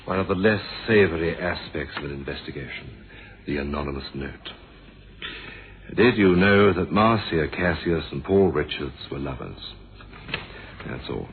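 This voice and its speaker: Police inspector, deep, measured, polite, probing, radio mystery drama, muffled old recording with noise. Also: male voice, lively intonation. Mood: pressing, businesslike. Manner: formal, measured, polite questioning. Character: courteous, probing police inspector, firm but humane.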